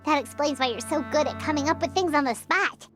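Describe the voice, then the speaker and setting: A woman voicing a tiny, childlike female fairy companion in a video game, excitable and bossy, with a squeaky voice. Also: a little fast, music in the background, lightly acted. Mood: excitable, admiring. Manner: speaks of self in third person, indignant.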